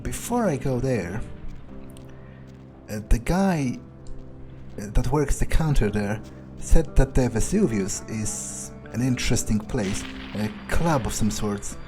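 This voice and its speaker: A man voicing a gruff, sardonic vampire vigilante in an in-character game playthrough, muttering and hesitant as he thinks aloud. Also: medium pitch, lively intonation, slow pace, music in the background. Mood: musing. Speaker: role-playing gamer.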